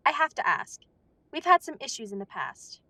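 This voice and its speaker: A woman playing a polite, high-voiced werewolf receptionist. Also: sing-song, normal speed, sharp tone, no room sound, heavily acted. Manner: polite, businesslike. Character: polite female werewolf council receptionist, brisk.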